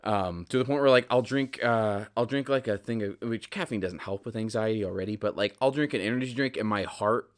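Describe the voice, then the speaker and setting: A man's voice: lowish voice, brisk, few pauses. A man in his twenties hosting a solo podcast, talking casually in a candid, rambling, self-deprecating way. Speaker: podcast host.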